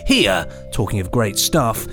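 A man's voice: fairly low pitch, big pitch swings, unhurried, a bit bright, soft background music. A British man co-hosting a podcast in a playful, mock-grand presenting style with bantering asides. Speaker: podcast co-host.

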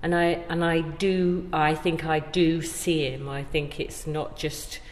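A woman's voice: deep voice, level tone, takes it slowly, slight background noise. A middle-aged woman chatting casually as an interview guest, effusive and emphatic in the way she talks. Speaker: guest actor being interviewed. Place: indoor stairwell. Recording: very echoey stairwell.

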